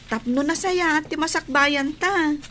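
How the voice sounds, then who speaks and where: A woman's voice: high voice, unhurried, a bit bright, slight background noise. A woman playing a loyal, protective schoolgirl big sister in a radio drama, with a spirited, caring manner. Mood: reassuring, insistent.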